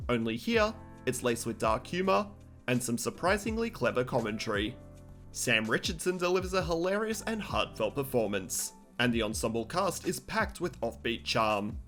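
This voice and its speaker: Male video host for scripted countdown narration, lively intonation, light music bed. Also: mid-range voice.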